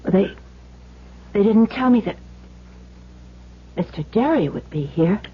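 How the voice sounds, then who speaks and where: An actress playing a shaken wife in a 1970s radio drama, halting and hesitant, with a husky, breathy voice. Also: female voice, mid-range voice, expressive, heavily acted. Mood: nervous, halting. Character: shaken, vengeful young wife giving false testimony. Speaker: actor. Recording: dull old radio recording.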